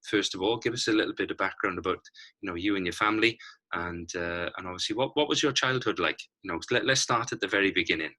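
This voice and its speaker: A man's voice: deep voice, fast, bright, forceful tone. A man hosting a casual interview, encouraging and wordy, often repeating his phrases.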